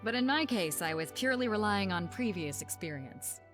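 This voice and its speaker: A woman plays a confident, worldly female sea captain from a video game. Her middle-pitched voice is casual, self-assured and teasing, over a music bed. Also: animated, normal speed, a bit bright, natural voice. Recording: music behind.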